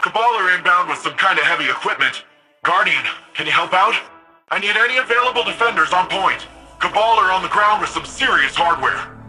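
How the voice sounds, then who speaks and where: Game casting: playful young city guardian, slangy, cocky, very bright higher voice, highly animated. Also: male voice, fairly quick, faint music behind, heavily acted. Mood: casual, alert. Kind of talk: video game radio dialogue.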